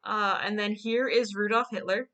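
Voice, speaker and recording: A woman co-hosting a casual chat, retelling a story in a halting, amused way. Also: mid-range voice, fairly even intonation, bright, forceful tone. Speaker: co-host recapping a drawn story.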